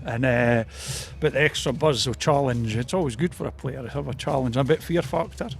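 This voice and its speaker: A man's voice: medium pitch, fairly quick, creaky. A man with a Scottish Borders accent giving a casual, hesitant, rambling interview about his club.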